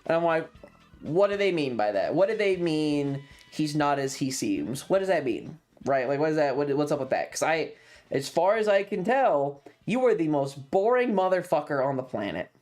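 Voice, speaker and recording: A mid-pitched streamer of androgynous voice chats casually, swearing freely and retelling things with sardonic, acted-out dialogue. Video game music plays behind the voice. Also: big pitch swings, normal speed, a little mellow. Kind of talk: casual storytelling to stream chat.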